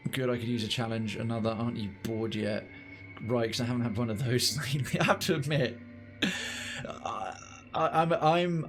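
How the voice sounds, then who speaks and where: A male streamer with a mid-range voice gives casual, rambling game commentary. He is wry and chats to viewers over faint music. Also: mid-range voice. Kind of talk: casual let's play commentary, chatting to viewers.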